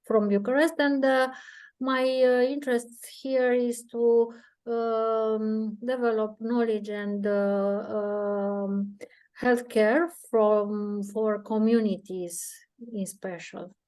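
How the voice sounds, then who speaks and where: Slow, monotone woman. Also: fairly high pitch. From Romania. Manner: slow, searching for words. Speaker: nurse and lecturer. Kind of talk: webinar self-introduction. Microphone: video call.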